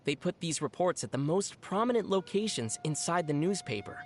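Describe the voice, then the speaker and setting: An actor voicing a young traveling adventurer in a video game, level-headed and gently teasing, explaining things in a dry, playful way. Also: mid-range voice, animated, a little fast, slight background noise, lightly acted. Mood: dry, explanatory. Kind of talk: video game character dialogue.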